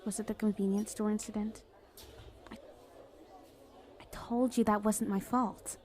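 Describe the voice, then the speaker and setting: A woman's voice: mid-range voice, little pitch movement, a little slow, a little mellow, lightly acted. A woman playing a flirty, self-justifying villain in an ASMR roleplay, talking in a casual, playful, sardonic way. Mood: defensive. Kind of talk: acted ASMR roleplay monologue.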